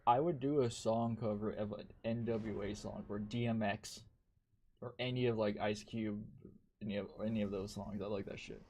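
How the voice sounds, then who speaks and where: A man's voice: lowish voice, steady tone, moderate pace, dark tone, slight background noise. A man chatting casually while gaming on a stream, rambling, swearing a lot and laughing often.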